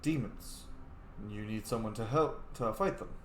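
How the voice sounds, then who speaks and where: A young man playing a video game and roleplaying his character, excitable, sweary and playful. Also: fairly low pitch, unhurried, echoey room, slight background noise. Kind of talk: casual let's play commentary with in-character lines.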